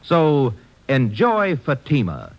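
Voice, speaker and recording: A man's voice: mid-range voice, huge pitch swings, slow. An American man delivering a polished, persuasive mid-century radio commercial. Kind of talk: 1950s radio advertising pitch. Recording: dull vintage radio recording.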